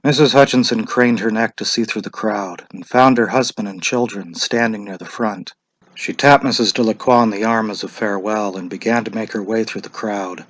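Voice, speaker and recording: A man narrating a short story as a dramatic reading, measured and deliberate. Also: on the low side, fairly bright tone, rough recording.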